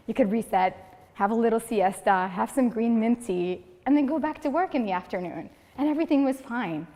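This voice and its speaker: A woman, giving a humorous prepared speech at a speaking club and acting out the people in her stories. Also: on the high side. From Morocco. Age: 30s. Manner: lively storytelling, acting out quoted people. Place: meeting room of a speaking club. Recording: long reverb of a hall.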